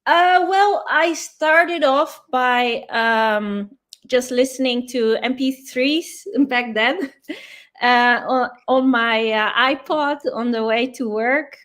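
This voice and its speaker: A woman with a clearly high voice, Dutch her first language, talking through a topic at an easy pace. She explains patiently and leans on plenty of ums and uhs as she goes, in the relaxed back-and-forth of an interview. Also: slow pace. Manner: explains patiently with many fillers. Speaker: guest. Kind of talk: casual interview conversation.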